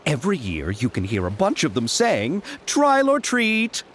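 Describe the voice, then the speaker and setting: A man playing an earnest, excitable and courteous filmmaker in a video game, speaking in a wordy, bookish, theatrical way. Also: fairly high pitch, measured pace, a little hiss or hum, full character voice. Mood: cheerful, explaining. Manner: polite, wordy, theatrical, hesitant when flustered. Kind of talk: animated game dialogue.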